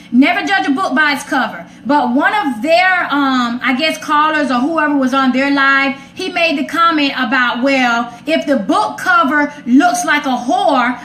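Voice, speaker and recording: A woman's voice: high voice, fairly bright tone. A woman talking casually and personally as a host, direct and opinionated, repeating points for emphasis. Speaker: talk show host addressing live comments. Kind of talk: casual, personal relationship talk. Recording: echoey room, faint background noise.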